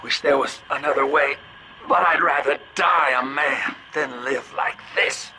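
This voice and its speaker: A man's voice: medium pitch, measured pace, bright, forceful tone, a little hiss or hum, poor audio. An actor playing a slick, world-weary older businessman in a video game, with a folksy, familiar drawl. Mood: anguished, strained.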